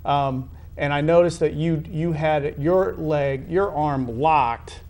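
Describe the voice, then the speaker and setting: A man hosting a sports interview, asking long conversational questions in halting, wordy phrasing with repeated words. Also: on the high side, darkish tone. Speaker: interview host.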